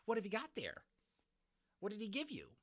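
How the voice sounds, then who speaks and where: A man's voice: high voice, wildly swooping pitch, moderate pace, breathy edge, pitched above the performer's own voice, full character voice. Man putting on a raucous nasty little schoolgirl. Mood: taunting. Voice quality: raucous, squawking. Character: nasty little schoolgirl taunting shrilly, jeering and witchy.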